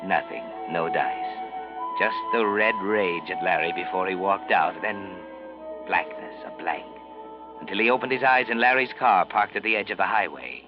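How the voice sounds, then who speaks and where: A muffled vintage radio recording with music behind carries a low-voiced actor playing an ominous male narrator. He speaks in a measured, insinuating way, like a guilty conscience taunting its owner. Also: lightly acted. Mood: grim, brooding. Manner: measured, insinuating, addressing the character as you. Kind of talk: 1940s radio suspense narration.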